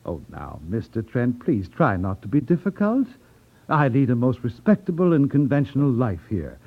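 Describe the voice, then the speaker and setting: An actor playing an elderly, over-polite racketeer boss with veiled menace in a 1950s radio drama, fussy and condescending. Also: male voice, mid-range voice, sing-song, moderate pace. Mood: veiled threat. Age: older. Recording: tinny, narrow-band vintage radio recording.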